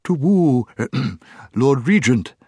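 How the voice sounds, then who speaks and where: A man voicing a large, courteous, flustered storybook owl with a soft hooting voice and repeated calls. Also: mid-range voice, big pitch swings, slightly rough, dry recording, pitched above the performer's own voice, heavily acted. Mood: muttering, flustered. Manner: repeats itself, breaks into drawn-out hoots.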